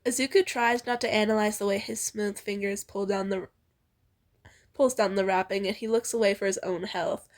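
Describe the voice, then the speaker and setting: A woman's voice: mid-range voice, fairly even intonation, moderate pace. A young woman reading fan fiction aloud informally with a friend, in a playful, giggly manner. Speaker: amateur fan fiction reader.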